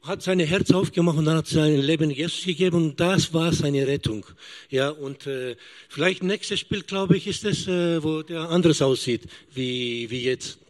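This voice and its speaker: A man in his fifties, a missionary giving a halting testimony as a church guest and often searching for words. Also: on the high side. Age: 50s.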